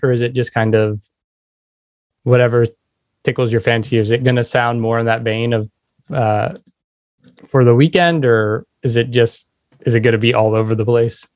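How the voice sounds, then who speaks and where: A man's voice: medium pitch, fairly even intonation, normal speed, a little mellow, no room sound. A man hosting a podcast, asking questions in a casual conversational style. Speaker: podcast host. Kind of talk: casual interviewing.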